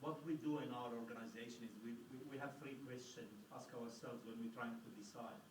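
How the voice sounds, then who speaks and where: A man from Jordan chiming into a group discussion, eager with quick joking asides. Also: medium pitch, steady tone, a little hiss or hum. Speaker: attendee. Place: meeting room at a live event. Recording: echoey room from far off.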